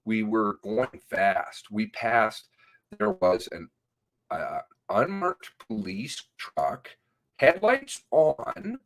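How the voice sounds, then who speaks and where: A man reading an interview transcript aloud in a halting way, sometimes breaking off with asides of his own. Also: lowish voice, sing-song. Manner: halting, often breaking off to comment on the text.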